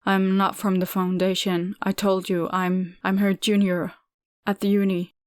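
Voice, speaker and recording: A woman's voice: medium pitch, flat delivery, a little slow, no room sound, clean studio sound, lightly acted. Woman doing a stammering nervous young man. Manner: hesitant, stammering.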